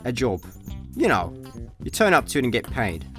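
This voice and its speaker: A man's voice: medium pitch, wildly swooping pitch, moderate pace, a bit bright. A young Scottish man playing an idle, agreeable space slacker in a comic radio play, chatting casually. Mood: casual, musing. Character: laid-back, idle male space slacker, mildly eager. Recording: dry studio, faint music behind.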